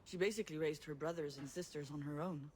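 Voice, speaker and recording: A woman's voice: low voice, quick pace, a little mellow, lightly acted. A woman playing a vulnerable but blunt younger sister in a naturalistic video game drama, speaking candidly. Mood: hesitant, subdued.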